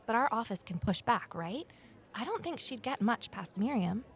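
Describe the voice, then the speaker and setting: A woman's voice: fairly high pitch, big pitch swings, moderate pace. A woman acting in an audio drama as an earnest, inquisitive amateur sleuth who also narrates her own story. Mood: curious. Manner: earnest, questioning. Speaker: lead character and narrator.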